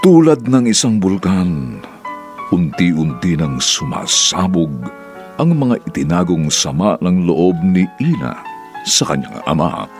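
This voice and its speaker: Filipino man, deep gravelly voice, very dramatic swooping delivery, radio drama narrator, music underneath. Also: very animated, slow pace.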